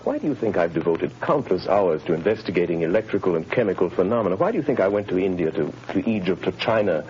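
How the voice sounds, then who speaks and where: An actor playing a suave, earnest gentleman crime fighter in a 1930s radio drama. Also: sits low, animated, fast talker, a little hiss or hum. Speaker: lead character, wealthy crime fighter. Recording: muffled 1930s radio broadcast.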